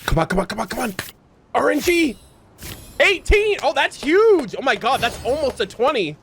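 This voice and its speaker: A woman streamer giving casual, excitable commentary as she plays through a game story, often interjecting. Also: high-pitched, moderate pace, slight background noise. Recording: game music behind the voice.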